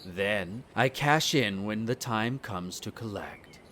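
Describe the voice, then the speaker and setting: A man playing a smug, bitter vampire noble, the eldest son, in an audio roleplay, speaking in a haughty, sardonic way with drawn-out theatrical phrasing. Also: fairly low pitch, animated, sharp tone, a little hiss or hum, exaggerated voice. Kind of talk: scripted audio roleplay acting addressed to the listener.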